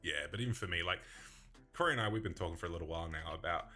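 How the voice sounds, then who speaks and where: A man with a mixed English and Australian accent, co-hosting a casual card-game chat, chatty and quick to laugh. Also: deep voice, over music. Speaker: podcast co-host.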